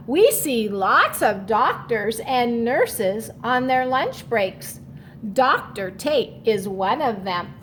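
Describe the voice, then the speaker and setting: Expressive woman reading slowly to children. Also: on the high side, slight background noise. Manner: instructive, checks understanding with short asides. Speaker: read-aloud teacher reading a children's book. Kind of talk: storybook read-aloud with explanatory asides.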